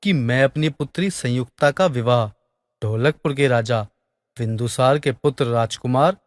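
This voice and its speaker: A man's voice: medium pitch, normal speed, dry recording, studio quality, long reverb tail, natural voice. A man playing a regal, commanding king in a fairy tale, speaking in formal proclamation.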